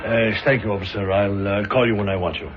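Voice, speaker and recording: An actor playing a pragmatic, skeptical defense lawyer in a radio drama, measured and persuasive with deliberate pauses. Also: male voice, on the low side, fairly even intonation, over loud music, lightly acted. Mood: weary, measured. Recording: muffled, noisy old radio recording.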